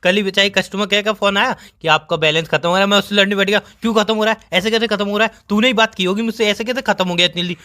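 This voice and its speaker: A man's voice: sits high, fairly even intonation, rapid-fire, few pauses, pushed and bright. A man, playing an exasperated, teasing boyfriend who rambles and complains in an acted phone-call comedy. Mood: exasperated complaining. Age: 20s. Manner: rambling, complaining, dramatic storytelling. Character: exasperated, teasing young boyfriend bickering with his girlfriend.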